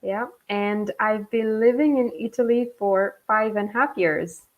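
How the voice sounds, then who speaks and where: A woman's voice: medium pitch, a little slow. A woman in her thirties from Bangladesh, an English teacher, talking in a friendly way with short encouraging replies during a live online lesson. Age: 30s. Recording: dull lo-fi online call audio.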